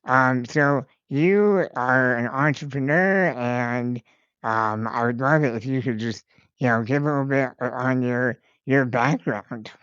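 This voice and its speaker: Smooth-voiced podcast host, dry and dull. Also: male voice, mid-range voice, a little slow, a bit bright, no echo. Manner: friendly, many "you know" fillers. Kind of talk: casual interview hosting.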